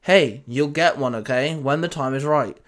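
A man voicing a steady, authoritative male team leader. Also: mid-range voice, moderate pace, natural voice. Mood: commanding. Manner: authoritative, reassuring.

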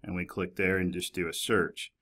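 A man's voice: low voice, flat delivery, moderate pace, bright, forceful tone. A man in his forties giving a casual, conversational screencast tutorial as a librarian presenter, explaining informally. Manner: conversational, informal, hedging with "basically" and "kinda". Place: small office, indoors.

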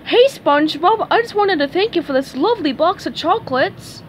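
A woman's voice: very high voice, lively intonation, moderate pace, a little hiss or hum, voice pushed up. A woman voicing a polite, grateful female eel in a cartoon storybook.

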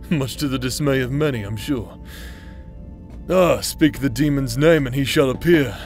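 A man's voice: mid-range voice, a little slow, close to an everyday voice. A man acting in an intimate audio roleplay as a teasing, roguish outcast brother who plays the villain but is secretly tender, with a hesitant, chuckling drawl. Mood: wry. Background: music.

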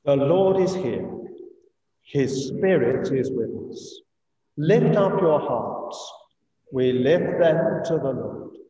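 Slow English priest in an echoing church. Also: male voice, mid-range voice, expressive, dark tone, slight background noise. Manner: solemn, measured, deliberate phrasing. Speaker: priest leading communion service. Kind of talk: liturgical recitation of a eucharistic prayer. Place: inside a church, streamed over video call. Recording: echoey church, distant mic, muffled video-call sound.

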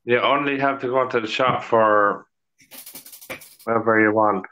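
Irish man on a lo-fi video call, crisp edgy voice, laid-back, laughs often and swears. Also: mid-range voice, fairly even intonation, a little slow, sharp tone, a little hiss or hum. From Ireland. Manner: laughs easily, swears casually. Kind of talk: casual chat. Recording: dull lo-fi call audio.

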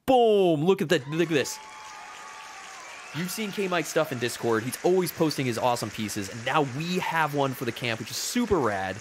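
A man in his thirties co-hosting a casual board game live stream from a home room on a webcam, chatty and excitable, laughing often. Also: on the high side, normal speed, crowd noise, background noise. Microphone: webcam video call.

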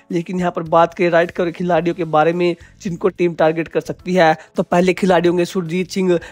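A man's voice: high-pitched, no echo. A man gives a scripted voice-over about a sports auction, steadily explaining and addressing viewers as friends. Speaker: kabaddi video presenter.

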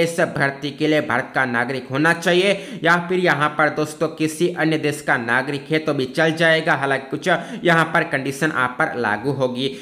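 A man presents information in an explainer style. His voice sits a little high and has a bright, forceful edge. He addresses his audience as friends and falls back on the same filler phrases as he goes. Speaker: presenter of job recruitment updates. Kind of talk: informational explainer narration of a notice.

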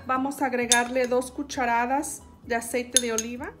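High-voiced woman. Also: normal speed, music in the background. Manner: calm step-by-step instruction, addressing viewers. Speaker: cooking show host. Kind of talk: home cooking demonstration.

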